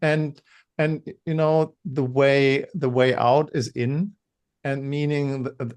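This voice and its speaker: A man co-hosting a webinar, talking in a halting way with many repeated words; he says he stutters when insecure. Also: medium pitch, level tone, darkish tone, clean tone. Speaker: webinar co-host. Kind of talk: casual webinar talk.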